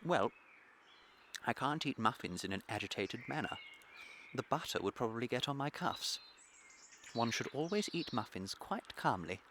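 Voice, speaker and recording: An actor playing a flippant, languid young English gentleman in a comic period radio play, with a pompous, unruffled manner. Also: medium pitch, sing-song, normal speed, pauses often. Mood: blithely unruffled. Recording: clear background ambient noise.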